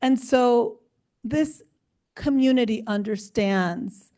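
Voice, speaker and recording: A woman's voice: fairly high pitch, slow pace, mellow. A woman from New York City, born in the Dominican Republic, giving an informal, effusive speech to an audience with Spanish words sprinkled in. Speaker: board chair, speaker. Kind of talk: informal keynote to a live audience.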